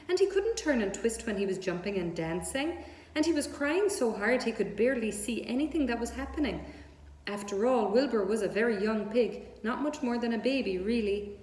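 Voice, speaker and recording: A woman's voice: medium pitch, darkish tone. A woman reading a children's story aloud as narrator. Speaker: story reader. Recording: echoey room with long reverb.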